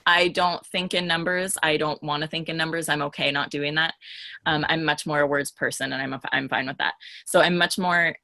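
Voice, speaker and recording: A woman with a bright, forceful edge to a fairly low voice chats in a group discussion. She is frank and matter-of-fact, speaking plainly and conversationally. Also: fairly even intonation, brisk, vocal fry, no room sound. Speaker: podcast panelist.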